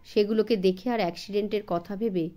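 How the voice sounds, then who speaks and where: A woman's voice: mid-range voice, fairly even intonation, quick pace, dark tone. A woman, narrating a story expressively as an audiobook for children.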